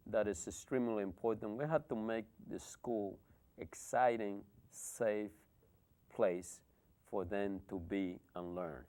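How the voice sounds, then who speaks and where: A man's voice: on the low side, takes it slowly. A middle-aged man from the Republic, an education official speaking earnestly as a talk show guest. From Dominican Republic. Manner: earnest, warm-hearted explanations with fillers. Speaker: school superintendent. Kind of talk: interview guest.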